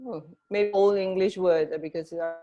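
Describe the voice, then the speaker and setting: A woman's voice: mid-range voice, fairly even intonation, normal speed, warm tone, highs cut off. A woman co-hosting a radio show in casual, unscripted chat, feeling her way to words as she talks. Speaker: radio co-host.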